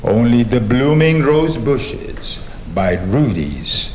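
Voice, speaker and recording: A man's voice: medium pitch, sing-song, takes it slowly, crowd noise. A man in his sixties giving a live poetry reading on stage. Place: meeting hall with audience. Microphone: stand microphone. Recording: echoey room with background noise.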